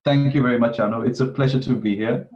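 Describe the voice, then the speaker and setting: A man in his thirties from Kenya, a guest astrology teacher, talking casually on a video call and pausing often with ums and restarts. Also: medium pitch, steady tone. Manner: hesitant, many ums and restarts. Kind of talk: casual interview talk. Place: home room, video call. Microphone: video call webcam. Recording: dull video-call audio.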